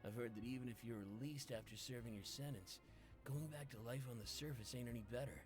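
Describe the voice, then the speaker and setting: A man's voice: mid-range voice, fast, over music, natural voice. An actor voicing a cynical, bitter male convict in a video game, with a scornful, sneering manner.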